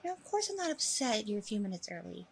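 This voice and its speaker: A woman's voice: medium pitch, big pitch swings, quick pace, vocal fry. A woman playing a smug, self-promoting training center owner in a chatty ASMR roleplay, with breezy, self-satisfied customer-service politeness. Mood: breezy, polite. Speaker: roleplay audio performer.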